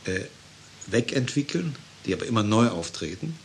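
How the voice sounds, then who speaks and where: A man with a fairly low voice gives an explanation. He phrases things carefully with small hesitations, and a faint noise sits behind him. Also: very animated, moderate pace, creaky. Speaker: interviewed commentator. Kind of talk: explanatory talk.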